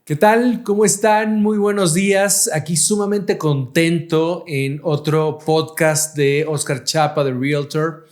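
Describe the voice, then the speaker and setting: A man hosts a casual podcast interview. His voice sits fairly high for a man, and he introduces his guests with lavish praise and plenty of fillers. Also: steady tone, slow pace, hardly any pauses, faint music behind. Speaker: podcast host, realtor.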